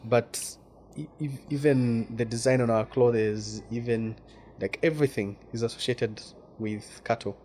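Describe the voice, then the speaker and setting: A Ugandan man from the west of the country talks as an interview guest at a middle pitch. He is thoughtful and restarts phrases as he searches for words. A faint hiss sits under the voice. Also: steady tone, measured pace, warm tone. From Western Uganda. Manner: thoughtful, repeats words while searching for them. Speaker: guest journalist. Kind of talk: casual interview talk.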